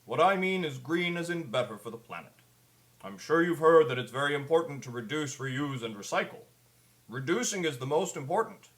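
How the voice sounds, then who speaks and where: A man's voice: fairly high pitch, expressive, a little fast, fairly bright tone. A man playing a kindly, concerned old Santa Claus, giving an earnest, pleading scripted public address. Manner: earnest, pleading storyteller delivery.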